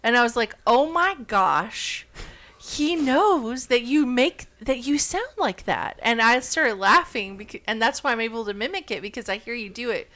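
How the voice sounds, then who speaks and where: A woman's voice: fairly high pitch, expressive, moderate pace, sharp tone. Teasing woman in casual chat. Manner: teasing, laughs a lot. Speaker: podcast co-host. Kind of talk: casual chat among friends.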